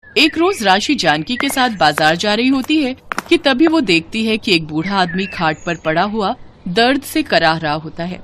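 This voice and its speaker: A woman's voice: medium pitch, expressive, normal speed, fairly bright tone, slight background noise. A woman storyteller reading scripted narration for a children's moral tale.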